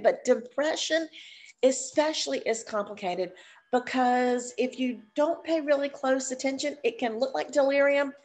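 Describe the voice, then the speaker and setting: Older woman teaching, stuffed-up voice. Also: high voice. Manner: chatty, encouraging, addresses audience as y'all. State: stuffed up with a cold. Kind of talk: live online teaching session. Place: living room, seated on a sofa. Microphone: webcam on a video call.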